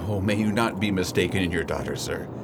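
An actor playing a courteous, concerned Victorian doctor with polite, formal speech in a radio drama. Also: male voice, sits low, quick pace, vocal fry, heavy background noise. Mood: doubtful. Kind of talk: theatrical radio drama acting.

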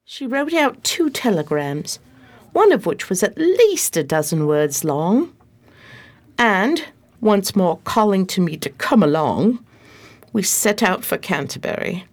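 A woman playing a loyal, long-suffering detective's assistant, narrating and acting in a slightly prim, expressive radio play style. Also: mid-range voice, takes it slowly.

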